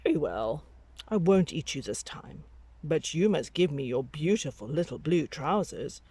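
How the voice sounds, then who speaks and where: Woman putting on a slow, menacing storybook tiger. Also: deep voice, lively intonation, warm tone, breathy edge, exaggerated voice. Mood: grudging. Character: menacing, boastful tiger who threatens to eat a child.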